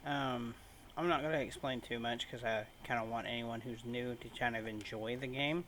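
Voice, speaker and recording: A man hosts a gaming video with casual, off-the-cuff commentary. His voice sits at an average pitch and his phrasing is a bit halting. There is faint noise in the home recording. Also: fairly even intonation, a bit bright. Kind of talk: casual let's-play commentary.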